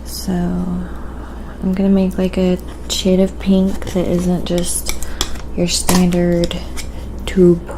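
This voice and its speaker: A soft-spoken woman hosting a casual ASMR painting live stream, explaining as she works in an unhurried way. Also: fairly low pitch, completely flat delivery, background noise. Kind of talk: ASMR, casual art demonstration.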